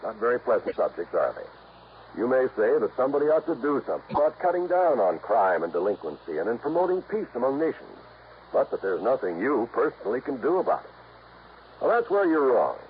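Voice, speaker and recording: An American man working as a radio announcer, delivering a 1950s-style public-service message in an earnest, measured, formal manner. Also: mid-range voice, big pitch swings. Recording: tinny, faintly noisy old radio broadcast.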